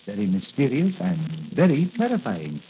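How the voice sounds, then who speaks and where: A gentle, worried doctor in a vintage 1940s radio serial. He speaks hesitantly with very lively intonation. The sound is tinny and phone-like, with faint noise. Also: medium pitch, normal speed. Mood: curious, concerned. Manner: hesitant, concerned. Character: kindly, fretful doctor confidant of the hero. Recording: tinny, crackly old radio recording.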